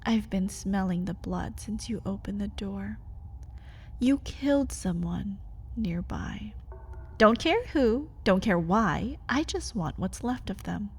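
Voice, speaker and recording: Slow-talking, scheming vampire wife played by a woman. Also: lively intonation, slightly rough, full character voice. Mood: sly, taunting. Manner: taunting, sardonic, swings from low menace to pleading. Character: feral, scheming vampire wife, mocking and hungry. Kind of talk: ASMR roleplay acting.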